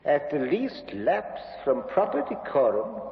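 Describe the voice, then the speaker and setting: A stern British judge in a vintage radio drama. His high-pitched male voice is slow and solemn, ringing through a reverberant hall. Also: big pitch swings, creaky, exaggerated voice. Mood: stern warning. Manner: solemn, measured. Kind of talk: 1950s radio drama acting. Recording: muffled, echoing courtroom reverb.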